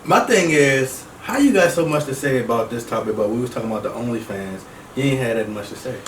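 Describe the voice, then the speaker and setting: A man's voice: medium pitch, expressive, fast. A man chiming in with casual banter from the side of someone else's vlog. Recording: echoey, distant mic with faint noise.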